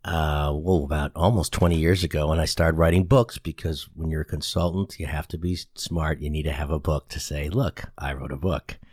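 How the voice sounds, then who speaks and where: Deep-voiced man in his sixties. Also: dry recording, studio quality. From Boston area, USA. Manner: rambling, self-deprecating, fillers and restarts. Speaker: guest, brand specialist and author. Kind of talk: casual conversational interview. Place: studio in San Antonio.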